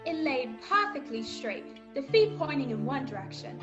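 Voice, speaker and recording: A woman plays a young boy telling his sea adventure as scripted dramatic narration. The voice is high, with faint music underneath. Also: big pitch swings, moderate pace, lo-fi sound. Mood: storytelling.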